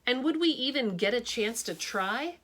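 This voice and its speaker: A woman teacher reading a picture book aloud, expressive and dramatic, shifting her tone for suspense. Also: a little high, moderate pace.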